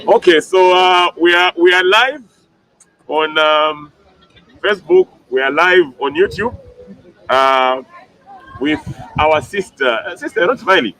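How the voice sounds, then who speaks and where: A man's voice: a little high, measured pace, pauses often, poor audio. A man interviewing a new sister outdoors for a live stream, talking with many fillers and restarts. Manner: hesitant, filler-heavy, animated. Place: outdoors at a celebration.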